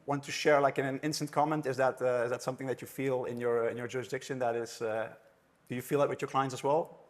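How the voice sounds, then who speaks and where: Dutch guy running a panel at a conference, mid pitch, chatty, keeps restarting bits of sentences. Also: steady tone, quick pace. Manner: chatty, restarts phrases often. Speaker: panel moderator. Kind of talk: moderating a live conference panel. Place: conference room with an audience.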